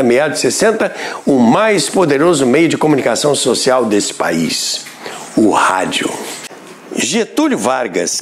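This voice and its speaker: An older man with a Brazilian Portuguese accent who hosts a radio history show, narrating from a script with expressive, emphatic delivery. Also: a little high.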